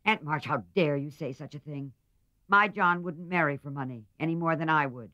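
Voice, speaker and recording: A man's voice: a little high, normal speed, bright, forceful tone, no room sound, pitched above the performer's own voice. A man reading aloud, voicing an earnest young woman who speaks up for her sweetheart. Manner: earnest, pleading. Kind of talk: audiobook character voice. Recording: slightly muffled dry recording.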